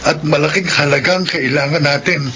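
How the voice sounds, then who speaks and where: A man's voice: fairly high pitch, fairly even intonation, brisk, natural voice. An actor playing an earnest, caring, worried husband and father in a Filipino radio drama, speaking plainly and seriously. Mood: grave. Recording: muffled, noisy radio drama recording.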